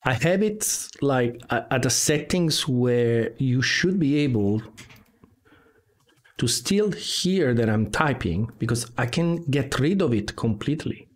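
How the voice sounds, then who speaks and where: A man's voice: medium pitch, lively intonation, takes it slowly. Man chatting on a live stream. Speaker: live streamer, game developer. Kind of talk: casual live stream chat. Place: home room with guitars on the wall. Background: computer keyboard typing at times.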